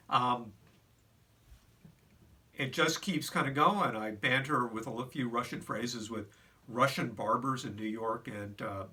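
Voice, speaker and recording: A man's voice: mid-range voice, pushed and bright, recorded from a distance. An older man telling a reflective personal story in a conversational way, with fillers and restarts.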